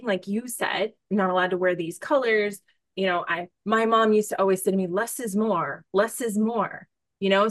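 A woman hosting a podcast in casual conversation, effusive and informal, thinking out loud as she talks. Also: medium pitch, no echo. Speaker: podcast host interviewing a guest.

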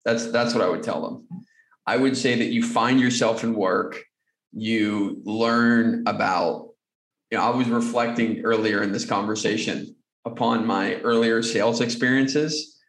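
Gravelly earnest man in an echoey room. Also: fairly low pitch, fairly even intonation, measured pace. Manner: earnest, motivational. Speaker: podcast guest. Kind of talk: conversational interview answers.

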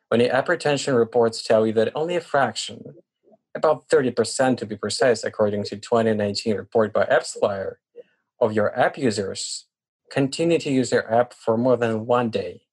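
Man, mid-range voice, podcast host, deliberate delivery, interview questions, some rephrasing. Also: mid-range voice, darkish tone, breathy edge, poor audio.

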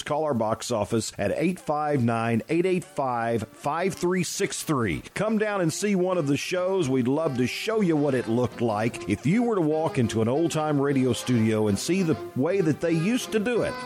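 Lively-pitched man hosting radio. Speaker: radio show host. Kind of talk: friendly scripted radio sign-off.

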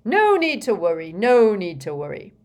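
A woman's voice: fairly high pitch, big pitch swings, a little slow, pitched above the performer's own voice. A woman voicing a flustered, blustering older male wizard minister in a storybook reading, fretful and emphatic. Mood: flustered, loud.

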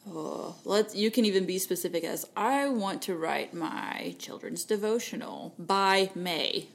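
A woman with a Texan accent, co-hosting a casual faith-based podcast in a lively, playful way, with quick asides. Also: medium pitch, gravelly. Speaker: podcast co-host.

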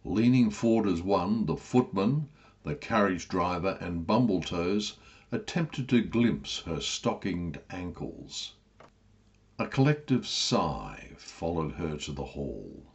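Guy narrating a funny story, low voice, unhurried, wry, slightly dull audio. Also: big pitch swings. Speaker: author reading own story. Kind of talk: scripted comic storybook narration.

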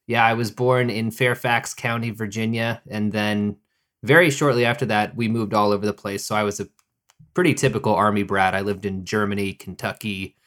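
A man's voice: on the low side, steady tone, moderate pace, a bit bright. A man from Oklahoma co-hosting a podcast, chatting in an easygoing, agreeable and thoughtful way. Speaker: podcast co-host. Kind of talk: casual conversation.

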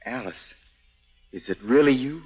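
A man playing a gentle, ailing gentleman of the house in a 1930s radio drama, speaking politely. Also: mid-range voice, unhurried, husky. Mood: puzzled. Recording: dull 1930s radio broadcast, faint music.

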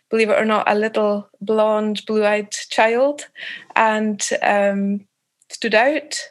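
A Scottish woman answering interview questions thoughtfully, pausing often with fillers and restarting phrases. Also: medium pitch. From Scotland. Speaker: author guest.

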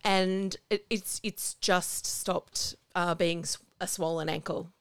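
An Australian woman co-hosting a casual podcast chat, slightly husky after laryngitis, rambling and self-deprecating. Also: lowish voice, fairly even intonation, takes it slowly, dry recording. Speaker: podcast co-host. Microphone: home recording over video call.

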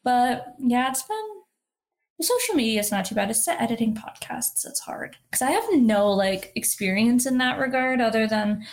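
A woman's voice: on the high side, lively intonation, normal speed. A young woman in her twenties with a nasal voice, co-hosting a podcast in casual chat, swearing and laughing often. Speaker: podcast co-host.

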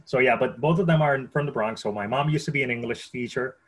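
A man's voice: medium pitch, fast, fairly bright tone, slightly rough. A man in his thirties with a Puerto Rican accent, telling his story conversationally as a podcast guest, often repeating words as he goes. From Puerto Rico.

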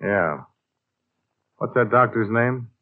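An actor playing a brisk, wry American insurance investigator in a 1950s radio detective drama, with clipped, matter-of-fact delivery. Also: male voice, lowish voice, animated. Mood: probing. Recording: tinny vintage radio recording with music.

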